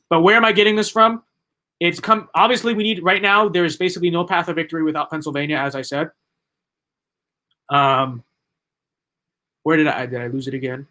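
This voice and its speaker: Young man, hoarse-edged stream commentary. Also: a little high, sharp tone. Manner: rambling, emphatic, repeats himself. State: losing his voice. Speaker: livestream political commentator.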